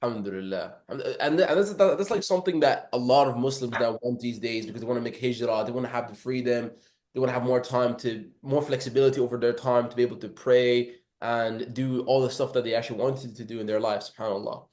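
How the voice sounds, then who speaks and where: A man's voice: medium pitch, fast, darkish tone, reverberant. A man hosting a casual interview, eagerly asking several questions in a row.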